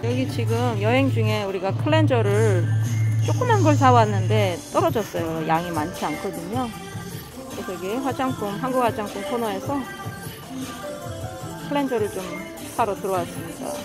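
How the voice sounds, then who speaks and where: A woman's voice: medium pitch, expressive, normal speed, poor audio. A middle-aged woman narrating a casual travel vlog. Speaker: vlogger. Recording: loud background music over phone video. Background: music, some vehicle noise.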